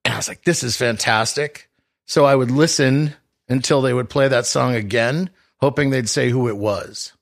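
A man in his forties from the Boston area, a radio DJ, telling stories in a dry, self-deprecating way with halting restarts. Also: mid-range voice, creaky. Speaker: radio DJ guest. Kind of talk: casual interview chat.

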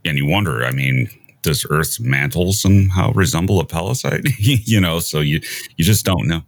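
A man hosting a conversational science interview in a measured, musing way. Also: very deep voice, no echo. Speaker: podcast host interviewing a guest.

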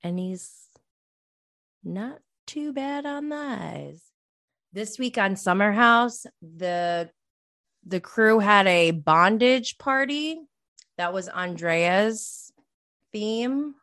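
A woman's voice: medium pitch, lively intonation, very slow, long pauses, a bit bright. A woman of about forty hosting a podcast, chatting casually on her own in a rambling way that often trails off. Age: around 40. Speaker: podcast host. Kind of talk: casual solo recap chat about reality TV.

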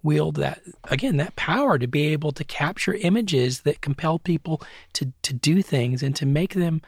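A middle-aged man with a gravelly, textured voice gives considered interview answers about his work. He restarts phrases and checks in with "right?" as he goes. The sound is close and dry, with no room around it. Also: on the high side, moderate pace, no room sound. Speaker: guest, advertising strategist and academic.

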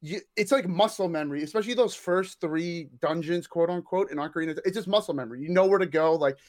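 Man, podcast guest, eager, rambling, fairly high voice. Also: quick pace, a little mellow. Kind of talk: casual podcast chat.

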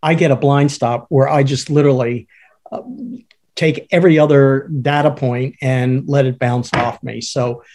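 A man co-hosting a podcast who calls himself a baritone, thinking aloud in halting, filler-heavy speech. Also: steady tone, a little mellow. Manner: hesitant, many uh fillers and restarts. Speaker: podcast co-host. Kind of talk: casual conversational podcast.

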